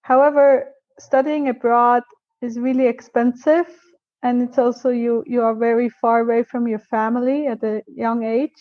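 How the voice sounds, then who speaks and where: A woman's voice: a little high, little pitch movement, normal speed, dry recording, dull audio. A woman who grew up in Switzerland, a scientist guest telling her story earnestly in an interview, with small restarts. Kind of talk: casual interview answers.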